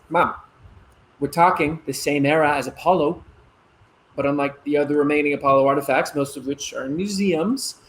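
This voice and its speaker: An actor playing a proud young missile officer in a stage play, explaining earnestly with dramatic pauses. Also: male voice, on the high side, vocal fry, rough recording. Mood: eager, explaining. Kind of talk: conversational stage-play dialogue.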